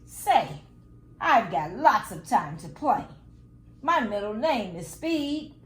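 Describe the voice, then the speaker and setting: A woman's voice: on the high side, sing-song, takes it slowly, fairly bright tone, reverberant, exaggerated voice. A woman reading a storybook voices a cocky, boastful young male hare with a showy, bragging manner.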